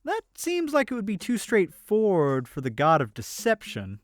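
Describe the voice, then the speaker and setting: A woman's voice: medium pitch, wildly swooping pitch, moderate pace. A woman playing a candid, protective and skeptical adventurer in a fantasy audio drama.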